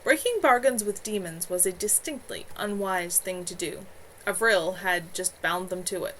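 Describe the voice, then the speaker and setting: A woman's voice: lowish voice, moderate pace, sharp tone. A woman narrating a fantasy story aloud in an unpolished amateur manner on a home livestream. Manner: amateur, unpolished reading with stumbles. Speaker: author reading own novel. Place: at home, live stream. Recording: clearly noisy home livestream.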